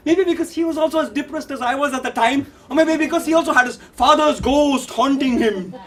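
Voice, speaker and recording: Man acting a high-voiced, theatrical young Indian man. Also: fairly quick, far from the mic, soft background music. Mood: reflective. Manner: theatrical, declamatory, swinging from hushed to shouting. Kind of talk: dramatic monologue storytelling.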